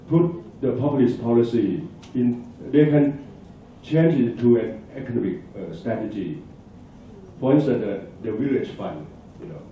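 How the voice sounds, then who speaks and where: A man from Thailand presenting at a conference, answering audience questions in a halting way with many fillers and restarts. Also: mid-range voice, a little hiss or hum. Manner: hesitant, halting, restarts words, frequent fillers. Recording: echoey hall, distant dull mic.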